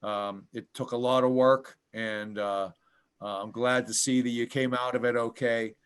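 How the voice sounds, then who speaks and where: American male host, friendly but halting, lots of hesitations, video call interview. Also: mid-range voice, level tone, clean tone. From United States. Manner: halting, full of ums and restarts.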